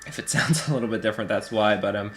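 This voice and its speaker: A man's voice: fairly low pitch, fast, a bit bright, slight background noise. A man with a stuffed-up nose chatting casually over a city-building game as a let's play host, laughing often and thinking aloud. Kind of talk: casual gameplay commentary.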